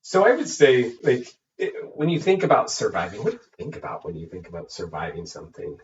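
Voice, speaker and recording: A man's voice: on the low side, huge pitch swings, brisk, recorded from a distance. A man co-hosting a podcast, naturally nasal and more so today from a head cold, joking and teasing in casual chat. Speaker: podcast co-host. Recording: dull lo-fi sound from off mic, faint hiss.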